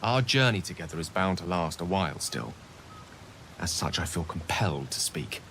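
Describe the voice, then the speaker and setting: An actor playing a courteous, learned wizard with a grave secret, speaking in formal, wordy video game dialogue. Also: male voice, sits low, expressive, moderate pace, a bit bright, a little hiss or hum. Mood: grave, earnest. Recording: music and rain behind the voice. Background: music, rain.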